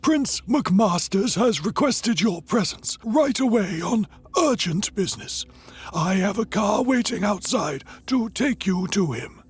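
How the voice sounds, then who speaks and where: A woman's voice: mid-range voice, expressive, measured pace, slightly rough. A woman playing a formal, unflappable courier to a vampire prince in an audio drama, speaking politely and measuredly. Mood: formal, composed.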